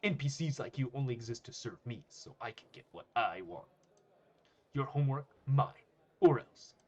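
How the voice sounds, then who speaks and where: A man voicing a boastful, threatening high school bully in a story. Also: lively intonation, brisk, slightly rough, highs cut off. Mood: menacing. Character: Kaga, swaggering menacing male high school bully.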